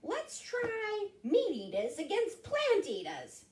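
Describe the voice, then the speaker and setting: A woman plays a friendly storybook rabbit with a casual, cartoonish way of talking. The voice is high and bouncy in pitch, heard in an echoey room. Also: wildly swooping pitch, slow pace, darkish tone, far from the mic, full character voice. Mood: helpful.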